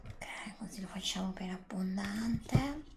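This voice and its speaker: A middle-aged woman in a whispered ASMR roleplay, speaking as a polite, attentive bar server who softly repeats herself. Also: medium pitch, slow pace, vocal fry, very noisy. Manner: polite, attentive shop-server patter, soothing repetition. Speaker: ASMR performer playing a bubble tea bar server.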